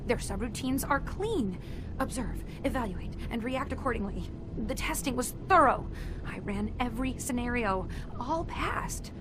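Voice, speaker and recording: A woman acting an earnest, socially awkward robot inventor in a video game, halting and defensive. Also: a little high, expressive. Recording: clear background noise and ambience.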